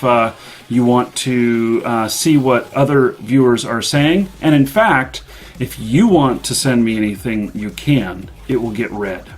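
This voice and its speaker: Rambling male show host. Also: mid-range voice. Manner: rambling, full of ums and uhs; plain-spoken, blunt. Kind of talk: casual live-show host talk.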